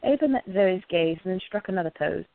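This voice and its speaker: Creaky-voiced woman narrating a story. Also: lowish voice, lively intonation, fast, no echo. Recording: narrow phone-like, dry sound.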